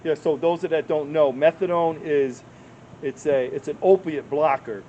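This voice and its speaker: A man's voice: on the high side, fairly even intonation, measured pace, mellow, highs cut off, slight background noise. A man hosting a street interview in a park, who repeats answers back and encourages his guests. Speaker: interviewer and channel host. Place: outdoors in a public park.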